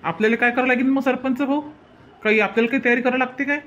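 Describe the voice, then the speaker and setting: Very high, quick village man played by a man, muffled. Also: fairly even intonation, fairly bright tone. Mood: unsure, willing. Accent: rural Vidarbha Marathi. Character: simple, obliging village man, a father. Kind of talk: hobby cartoon dialogue.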